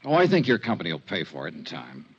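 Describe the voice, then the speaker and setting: Hard-boiled American radio detective, lively pitch swings. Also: male voice, fast talker, husky, dull audio, exaggerated voice. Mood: thoughtful. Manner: clipped, terse, hard-boiled delivery. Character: dogged, hard-boiled male insurance investigator narrating his case. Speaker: lead actor and narrator. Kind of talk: 1950s radio detective drama acting. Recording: vintage 1950s radio recording.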